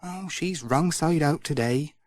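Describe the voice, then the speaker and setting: A man's voice: on the high side, measured pace, a little mellow, no echo, close to an everyday voice. A man voicing a homely, concerned farm mother in a children's audiobook, chatty and fretting. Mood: worried.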